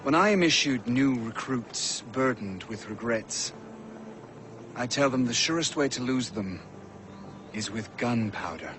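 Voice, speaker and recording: An actor playing a commanding army colonel in a video game cutscene, speaking in a measured, formal way. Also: male voice, mid-range voice, expressive, music in the background, natural voice.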